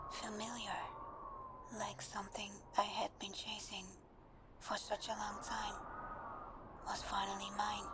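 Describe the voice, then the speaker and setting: A woman playing a timid, anxious best friend in an intimate ASMR roleplay, speaking in a hesitant, breathy half-whisper as if leaving a voice message. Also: medium pitch, heavy background noise, close to an everyday voice.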